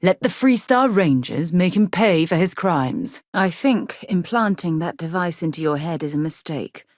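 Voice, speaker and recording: A woman's voice: lowish voice, animated, normal speed, a little mellow, no echo, clean studio sound, natural voice. A woman voicing a composed, articulate and principled space explorer and expedition leader in a video game. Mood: composed, earnest. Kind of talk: video game companion dialogue.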